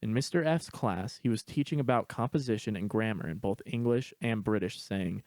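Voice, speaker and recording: A man's voice: fairly low pitch, moderate pace, darkish tone, dry recording. A man reading a scripted story aloud as its narrator.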